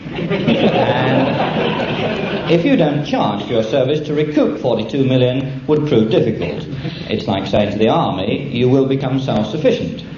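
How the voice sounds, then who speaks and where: British male after-dinner speaker, mid-pitched, dry deadpan comic delivery, echoey room. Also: unhurried. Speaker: air traffic controller giving an after-dinner speech. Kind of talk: humorous after-dinner speech. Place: function room with an audience. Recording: echoey, dull, very noisy live room recording. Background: audience laughter, loud noise.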